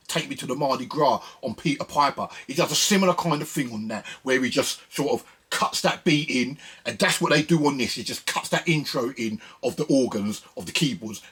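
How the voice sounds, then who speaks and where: A man's voice: on the high side, a bit bright, slightly rough. A man presenting records in a casual, conversational music commentary, often repeating phrases for emphasis.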